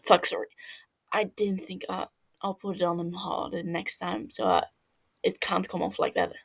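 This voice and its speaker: A woman acts out a hot-tempered young male healer in an audio story. The voice is husky and creaky, snappy and brash. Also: fairly low pitch, level tone, moderate pace, fairly bright tone, full character voice. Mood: apologetic. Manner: snappy, sweary.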